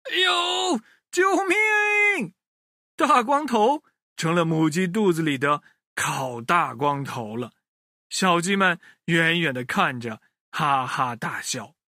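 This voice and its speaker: A man telling a children's story in an expressive way, speaking directly to his young listeners. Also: on the high side, slow, no echo, studio quality.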